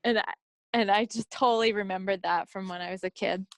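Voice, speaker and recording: A woman's voice: mid-range voice, steady tone, fairly quick, dry recording. Casual woman podcast host, close dry sound. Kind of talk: casual, unscripted craft chat.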